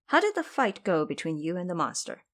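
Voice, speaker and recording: A woman voicing a gentle, anxious male Buddhist monk master in an audio drama, speaking softly and mildly. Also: lowish voice, big pitch swings, fairly quick. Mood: worried. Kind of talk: audio drama character acting.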